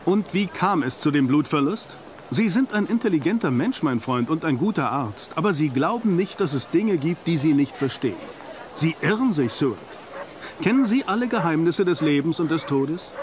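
An actor playing a learned, insistent professor and vampire hunter in a radio play, with probing, emphatic delivery. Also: male voice, a little high, animated, normal speed, a little hiss or hum, lightly acted. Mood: probing, lecturing. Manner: probing, emphatic, rhetorical questioning. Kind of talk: German radio play acting. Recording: radio play with loud background music.

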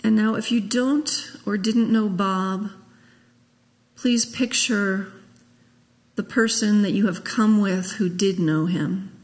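A woman minister leading a guided meditation, speaking gently and in a measured way with long pauses. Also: medium pitch. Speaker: minister leading a memorial service. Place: large church sanctuary, at a pulpit. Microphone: gooseneck pulpit microphone. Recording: long echo of a church hall.

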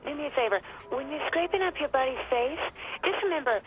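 A woman's voice: sits high, lively intonation, a little fast. Teasing mobster's girlfriend on the phone. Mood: taunting. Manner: teasing, sing-song taunting. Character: mocking young woman gangster's girlfriend. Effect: phone call.

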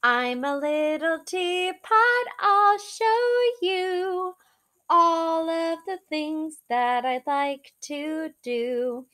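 A young woman reading a children's picture book aloud in an animated, drawn-out, singsong way, like a teacher talking to small children. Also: very high voice, faint music behind. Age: young adult. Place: small living room, seated in an armchair indoors.

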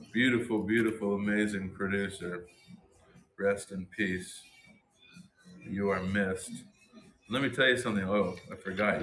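Male host in his thirties, lowish voice, excited and slangy, recorded in an echoey room. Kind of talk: casual product showcase. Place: small indoor room with shelves and posters.

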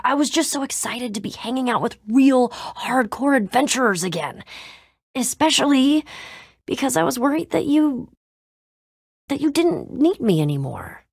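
Video game role: tough, moody young woman warrior. High, very animated, slangy and sarcastic. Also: breathy edge, no echo, studio quality, full character voice. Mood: troubled, earnest. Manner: casual slangy delivery with sighs and sarcastic asides. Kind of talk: video game voice acting, casual dialogue.

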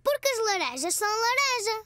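A woman's voice: extremely high pitch, sing-song, fairly quick, sharp tone, no echo, exaggerated voice. A woman voicing a curious, eager bald four-year-old boy in a dubbed cartoon, talking in a childlike, chatty way. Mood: cheerful. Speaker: lead child character.

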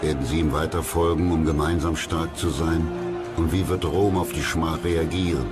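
A man's voice: extremely low pitch, fairly even intonation, normal speed. A man narrating a documentary from a script in a solemn, dramatic storytelling manner. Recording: music loud behind the voice. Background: loud background music.

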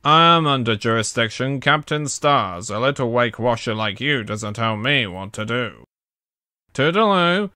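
Guy doing theatrical cartoon character voices, really clear, bright sound. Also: mid-range voice, animated, unhurried, no room sound, clean studio sound. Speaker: amateur voice impressionist. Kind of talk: character voice acting showcase.

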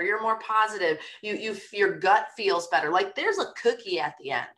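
A middle-aged woman hosting a live show, chatting casually and rambling in an upbeat way, her voice raspy from a cold. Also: medium pitch, a bit bright. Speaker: podcast host. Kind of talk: casual live-stream chat.

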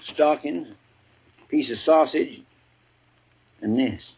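An actor playing an eager, loyal frontier deputy, folksy and deferential, in a 1950s radio western. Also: male voice, on the high side, slow, vocal fry, slightly rough, full character voice. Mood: earnest. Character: eager, loyal male marshal's deputy in the Old West. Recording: dull vintage 1950s radio recording.

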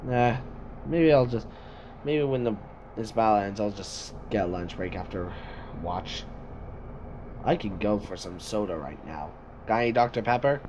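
Casting: man, slowish delivery, amateur improvised voice lines, halting, faint noise of a home recording. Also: lowish voice, expressive. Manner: halting, repeats and reworks lines.

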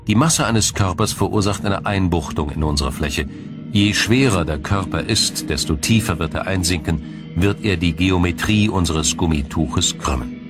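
Deep-voiced male documentary narrator. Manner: measured, explanatory. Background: music.

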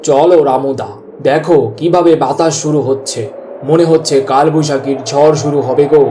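Mellow, anxious village farmhand acted by a man. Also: on the high side, fairly even intonation, normal speed, a little hiss or hum, close to an everyday voice. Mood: worried. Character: anxious village farmhand urging his older friend home. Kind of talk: cartoon voice acting.

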